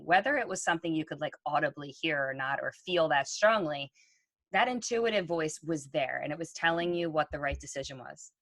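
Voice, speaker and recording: Woman, podcast co-host, low voice, reflective and earnest, dry close recording. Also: a bit bright, no room sound. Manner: earnest, reflective, with frequent ums. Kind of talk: conversational podcast talk.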